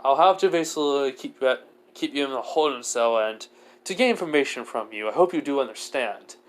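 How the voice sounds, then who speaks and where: A man voicing a courteous, formal male skeleton overlord in a fantasy story, measured and wary. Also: medium pitch, expressive, natural voice. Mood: polite, firm.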